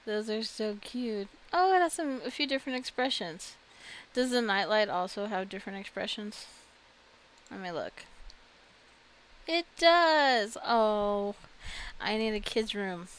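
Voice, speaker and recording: A woman's voice: mid-range voice, lively intonation, a little slow, fairly bright tone. A woman giving casual video game commentary, chatting and thinking aloud as she reacts to what she finds. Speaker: video game streamer and host.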